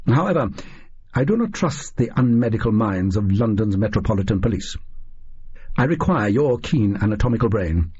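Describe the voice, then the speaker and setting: A man voicing a conceited, theatrical Victorian detective in an audiobook, with smug, formal, deliberate diction. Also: lowish voice, big pitch swings, darkish tone, husky, lo-fi sound. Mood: grave, pompous.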